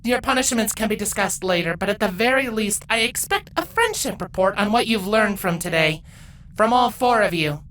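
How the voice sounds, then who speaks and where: A woman voicing a firm, caring adult pony mentor in a measured, lecturing manner for a story. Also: medium pitch, fairly bright tone, slight background noise, voice pushed up, lightly acted, rough recording. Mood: stern but kind.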